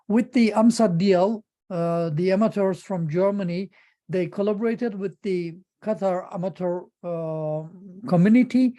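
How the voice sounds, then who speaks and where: A middle-aged man with a Turkish accent presents informally. His voice is very soft-edged and mellow, and he speaks haltingly, repeating words as he feels for the next phrase. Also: high-pitched. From Turkey.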